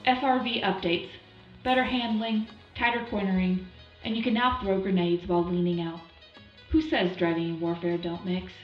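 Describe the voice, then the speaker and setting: A woman's voice: on the low side, steady tone, moderate pace, echoey room, muffled recording, faint music behind, natural voice. A woman playing an upbeat, zealous wartime radio presenter, reading news in a punchy, propaganda-slogan style. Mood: upbeat, patriotic. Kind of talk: scripted in-universe radio news update.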